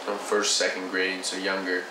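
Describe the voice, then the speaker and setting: A man in his twenties from Canada, calmly talking as a hip hop artist in an interview. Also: low-pitched, brisk. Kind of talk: casual interview talk. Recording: roomy, distant mic, noisy.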